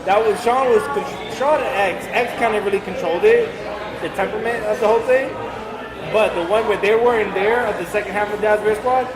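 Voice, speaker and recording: Brief: male podcast host, high voice, rambling storyteller, roomy bar with loud crowd behind. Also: fairly quick. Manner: rambling storyteller, cusses freely. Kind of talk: casual bar chat.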